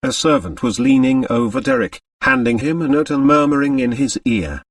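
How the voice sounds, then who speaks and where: A man's voice: medium pitch, very animated, moderate pace, vocal fry, no room sound, studio quality, far from the mic. A man reading a novel aloud as scripted literary narration for an audiobook.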